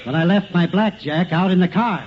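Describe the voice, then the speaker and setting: A sarcastic, flustered police sergeant acted by a man with a high voice. It is 1950s radio comedy acting. The sound is dull and noisy, with a studio audience behind it. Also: normal speed, lightly acted. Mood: exasperated, sarcastic. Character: exasperated, sarcastic male police sergeant taking a report.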